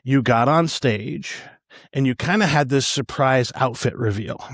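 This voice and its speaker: A man hosts a casual interview in a medium-pitched voice. His delivery is earnest and affirming, in a conversational style. The recording is dry, without audible room echo. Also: a little slow, fairly bright tone. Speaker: podcast host.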